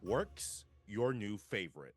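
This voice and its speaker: A man's voice: lowish voice, animated, takes it slowly, faint music behind. A man acting a hard-ass, grumpy department store manager at a table read of a comedy script, curt, bossy and exasperated.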